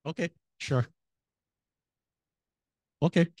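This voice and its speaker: A man moderating a panel discussion, soft-spoken and halting, often repeating words as he speaks. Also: no room sound.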